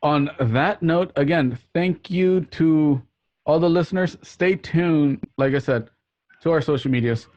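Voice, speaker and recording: A man's voice: a little high, unhurried, a little mellow, no room sound, dull audio. A man hosting an online radio play show, signing off to listeners in a casual, jokey, rambling way over a group call. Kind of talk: casual, chatty sign-off to listeners. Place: remote group call from home.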